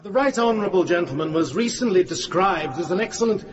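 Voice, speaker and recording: A man's voice: high voice, expressive, quick pace. An actor playing a smug Prime Minister, sarcastic and theatrical as he mocks opponents in a radio drama debate. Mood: mocking, smug. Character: smug, mocking male Prime Minister scoring debating points. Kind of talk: radio drama parliamentary speech. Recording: reverberant hall, dull sound, loud crowd.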